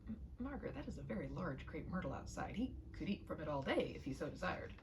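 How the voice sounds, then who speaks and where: A woman acts out a proper, worried shopkeeper husband from a comic storybook. He speaks with deliberate courtesy and an animated pitch, with some creak in the voice. A light noise lies underneath. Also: distant mic. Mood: annoyed. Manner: courteous, stiffly formal, deliberate.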